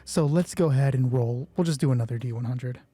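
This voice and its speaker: A man's voice: medium pitch, fast talker, warm tone. A man hosting a solo tabletop roleplay, improvising narration and thinking aloud as he corrects himself. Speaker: solo roleplay host and game master.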